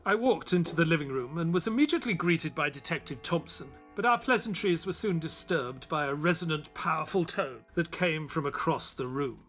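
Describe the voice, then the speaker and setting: An actor playing a loyal, grieving gentleman who narrates a detective audio drama in a measured, reflective manner. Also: on the high side, lively intonation, faint music behind.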